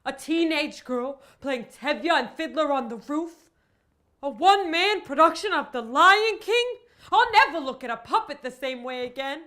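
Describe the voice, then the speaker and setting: A haunted, melodramatic woman, a comic character acted by a woman confesses her theater trauma. Her voice is high and her delivery is overwrought and theatrical. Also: expressive, normal speed, pushed and bright. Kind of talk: mock-confessional comedy monologue.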